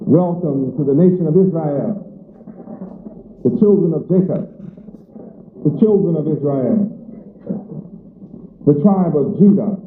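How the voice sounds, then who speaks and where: A man's voice: fairly high pitch, animated, slight background noise. Man preaching on a tinny, echoey recording. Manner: slow, emphatic, repetitive preaching cadence. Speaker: religious teacher. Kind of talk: sermon. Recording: echoey lo-fi phone-like archive recording.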